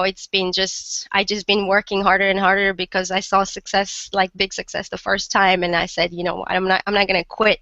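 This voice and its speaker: Bright, clear-voiced sick woman from Morocco. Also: medium pitch, no echo, highs cut off. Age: 30s. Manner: chatty, many fillers and restarts. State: sick, rough voice. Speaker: interview guest. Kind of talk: casual interview chat.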